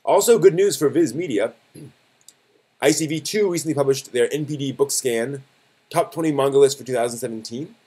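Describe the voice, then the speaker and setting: Man, medium pitch, live stream host, casual news commentary, conversational, halting, self-correcting. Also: dark tone, breathy edge.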